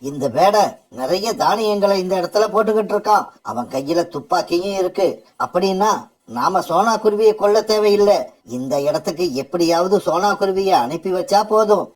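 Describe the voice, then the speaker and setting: A scheming father bird from a children's cartoon, played by a man. His voice is high-pitched for a male, with a sly, boastful edge that suits a cunning villain. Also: normal speed, low-quality recording. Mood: plotting. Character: cunning, murderous father bird plotting revenge. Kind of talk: children's cartoon story acting.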